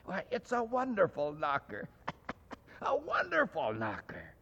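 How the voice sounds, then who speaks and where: A man reading aloud and voicing an elderly male miser, giddy with joy, with a husky voice and breathless exclamations and chuckles. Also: a little high, huge pitch swings, slow pace, a bit bright, in a large hall, highs cut off, full character voice. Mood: chuckling tenderness.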